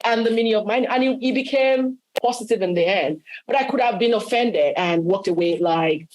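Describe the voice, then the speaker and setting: A woman's voice: medium pitch, fairly quick, a little mellow, poor audio. A woman with a Nigerian accent telling personal stories in a workshop discussion, self-assured and acting out dialogue as she goes. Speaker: workshop speaker.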